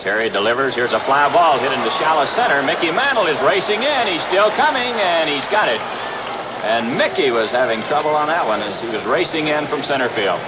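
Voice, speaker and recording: A man's voice: on the high side, big pitch swings, a little fast. An American man calling live baseball play-by-play in short clipped phrases on 1960s radio. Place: outdoor ballpark broadcast. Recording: very noisy 1960s radio broadcast recording.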